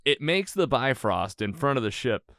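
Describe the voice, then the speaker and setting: A man's voice: mid-range voice, very animated, normal speed, a bit bright, no room sound, clean studio sound. A man hosting a casual film recap chat, retelling scenes and acting out bits of dialogue as he goes. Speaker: podcast host leading a movie recap.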